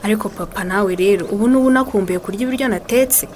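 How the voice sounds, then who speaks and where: A woman's voice: mid-range voice, fast, a little mellow, slight background noise, close to an everyday voice. An actress playing a schoolgirl who coaxes and insists with her father in a radio drama. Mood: coaxing. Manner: insistent, coaxing. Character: eager, doting schoolgirl daughter who cooks.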